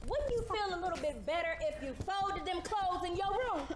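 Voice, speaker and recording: A woman's voice: very high voice, expressive, moderate pace. An actress playing an earnest, smitten woman in a live stage romantic comedy, speaking in a theatrical, insistent way. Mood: annoyed. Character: earnest smitten woman, pushy rival in love. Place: theater stage, picked up from a distance. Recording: echoey, very noisy theater with audience.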